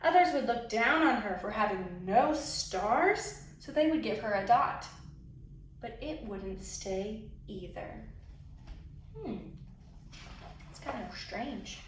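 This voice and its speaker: A woman reading a children's story aloud expressively, pausing to put questions to young listeners. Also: fairly high pitch, lively intonation, a little hiss or hum. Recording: very echoey room, distant microphone.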